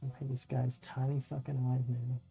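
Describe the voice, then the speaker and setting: A man's voice: mid-range voice, little pitch movement, moderate pace, vocal fry, warm tone. A middle-aged man chatting casually on a live stream while painting miniatures, rambling and swearing now and then as he talks to viewers. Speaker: streamer and dungeon master. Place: home room by a window. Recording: dull lo-fi audio with faint music.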